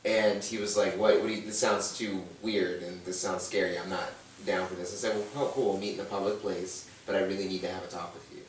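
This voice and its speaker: Low-voiced man in an echoey room. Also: level tone, brisk, few pauses, a little hiss or hum. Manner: earnest, storytelling, quoting others. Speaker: guest. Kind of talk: casual panel chat. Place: room with a brunch panel. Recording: echoey room, distant mic.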